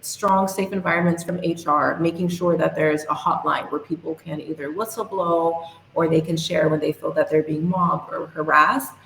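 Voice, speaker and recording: A young woman giving earnest, measured answers as a guest on a podcast interview. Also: sits low, fairly even intonation, gravelly, a bit bright. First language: English. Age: young adult. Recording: echoey room, faint hiss.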